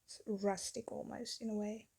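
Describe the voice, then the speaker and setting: Gravelly, mellow-voiced woman narrating her painting. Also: medium pitch, flat delivery, moderate pace. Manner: rambling, chatty, self-deprecating. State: losing her voice, getting sick. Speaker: artist narrating a painting video. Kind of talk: casual voice-over narration. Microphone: phone.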